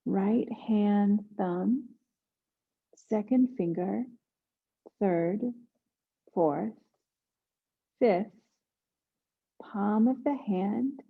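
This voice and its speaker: Slow, gravelly woman guiding meditation. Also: medium pitch, phone-quality sound. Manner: hushed, soothing instruction with long pauses. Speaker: meditation guide. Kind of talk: guided yoga nidra meditation.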